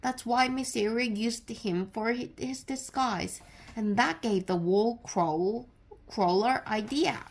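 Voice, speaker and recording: A woman reading a children's story aloud as scripted narration, with deliberate, expressive storytelling. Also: fairly high pitch. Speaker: storybook reader.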